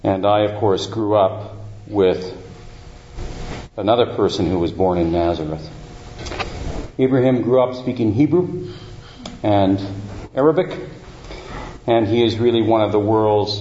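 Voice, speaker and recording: Low, mellow-voiced man introducing a speaker. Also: a little hiss or hum. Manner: halting, with many uh and um fillers. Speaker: event host introducing a speaker. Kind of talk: formal introduction. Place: university lecture room. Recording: roomy, dull lecture-hall recording.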